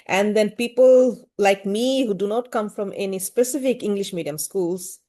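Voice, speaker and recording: Bangladeshi-accented woman, mellow dark voice, lots of ums and sort-ofs, careful thinking aloud. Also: mid-range voice, normal speed. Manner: thoughtful, many fillers and hedges. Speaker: guest, gender-rights activist. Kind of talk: conversational interview answer.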